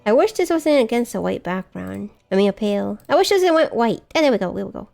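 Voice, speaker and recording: A young woman live-streaming a video game, giggly and animated as she reacts and chats. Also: mid-range voice, quick pace, gravelly, faint music behind.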